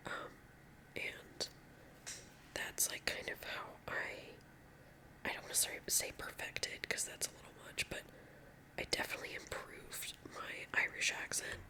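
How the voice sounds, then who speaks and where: A woman's voice: pushed and bright, poor audio. A young woman from California with a Californian accent, whispering ASMR chit-chat in a rambling, chatty way full of filler words while she does her nails. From California, USA. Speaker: ASMR creator.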